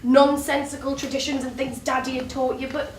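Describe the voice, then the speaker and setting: Actress playing wounded sardonic lover, high voice, echoey. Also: female voice, flat delivery, a little fast, lightly acted. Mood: pleading. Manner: incredulous, biting, sarcastic. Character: wounded, sardonic long-time lover fighting for her man. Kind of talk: theatrical stage play dialogue. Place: theatre stage, recorded from afar. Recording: distant, echoey, noisy stage recording.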